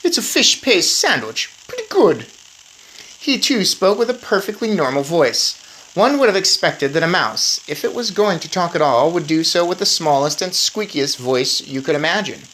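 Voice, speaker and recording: A woman's voice: deep voice, lively intonation, moderate pace, a little hiss or hum, low-quality recording. A woman reading a children's book aloud expressively, with informal asides to listeners. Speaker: read-aloud storyteller. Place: indoors in an attic.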